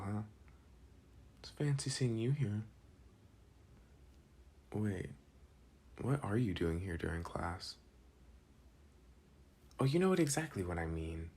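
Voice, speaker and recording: A young man playing a protective, teasing but soft-hearted school delinquent in an intimate, casual ASMR boyfriend roleplay. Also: fairly low pitch, breathy edge, exaggerated voice.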